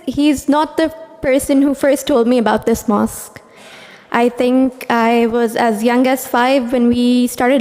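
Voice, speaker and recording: Young woman, higher voice, earnest prepared speech, reverberant hall. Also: a little mellow. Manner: earnest, with frequent "um"s. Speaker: speaker at a mosque anniversary.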